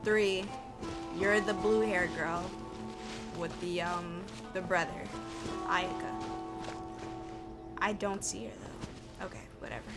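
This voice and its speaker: A woman's voice: lowish voice, takes it slowly, long pauses. A woman streaming a game, thinking aloud in informal, muttered commentary to herself, with music behind her.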